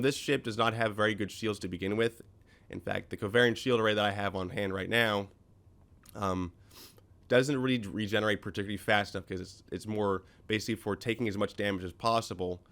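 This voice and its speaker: A man's voice: fairly low pitch, fairly bright tone. A man hosting a casual game commentary, his voice slightly scratchy from a recent cold. He rambles through explanations with many ums and self-corrections. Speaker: gaming video host.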